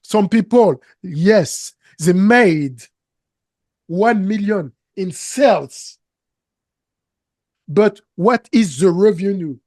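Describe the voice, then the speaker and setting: Slow, earnest French-accented man. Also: high voice, animated, darkish tone, dry recording, clean studio sound. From Canada. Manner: slow, earnest, emphatic, with non-native grammar slips. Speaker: guest, business coach. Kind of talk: interview conversation.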